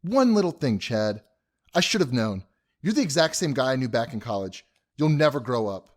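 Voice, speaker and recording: A man's voice: mid-range voice, big pitch swings, normal speed. A man playing an exasperated, scolding young groom in an audio drama. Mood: angry, hurt. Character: exasperated groom, scolding his wedding party.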